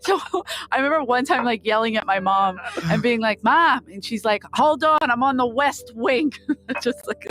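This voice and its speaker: A woman's voice: a little high, moderate pace, a bit bright, soft background music. A middle-aged American woman chatting casually and acting out conversations as she tells stories, swearing freely. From Philadelphia, United States. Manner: laughs often, swears freely, acts out quoted dialogue. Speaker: podcast guest, performer. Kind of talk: casual chat, animated storytelling.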